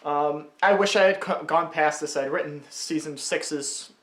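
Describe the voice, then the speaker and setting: A man's voice: on the high side, a little slow, fairly bright tone. Man commenting casually in an echoey room. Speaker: video creator giving commentary. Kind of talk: casual off-the-cuff commentary over a video. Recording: roomy echo, music behind. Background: music and dubbed cartoon dialogue.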